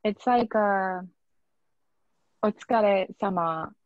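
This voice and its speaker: A woman from the Philippines, chatting casually on a panel in a relaxed, hesitant way with many fillers. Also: mid-range voice, slow, no room sound, narrow, tinny audio. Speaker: panelist, digital marketing specialist.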